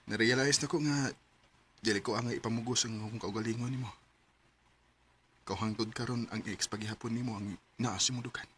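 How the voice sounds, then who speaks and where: A man's voice: medium pitch, fairly even intonation, a little fast, a bit bright, a little hiss or hum, close to an everyday voice. A man playing a meek, devoted young suitor in a radio drama, with a Filipino Bisaya accent. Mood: subdued, sorrowful. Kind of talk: Philippine radio drama acting.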